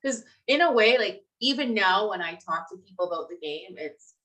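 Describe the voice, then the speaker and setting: A woman's voice: mid-range voice, expressive, normal speed, fairly bright tone. A young woman co-hosting a casual game fan livestream, chatty and giggly as she interviews her co-host.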